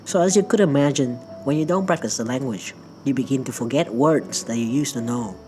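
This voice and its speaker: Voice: mid-range voice, a little fast, a little mellow, a little hiss or hum. A narrator from Perth, a native Vietnamese speaker who works in healthcare, telling a funny personal story in a playful, self-mocking way. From Perth, Australia. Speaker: healthcare worker.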